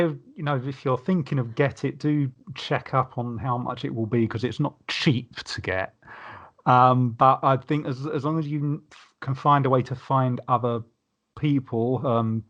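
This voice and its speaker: A man with a medium-pitched voice speaks as a podcast panelist. He gives his opinions in a casual, conversational review style.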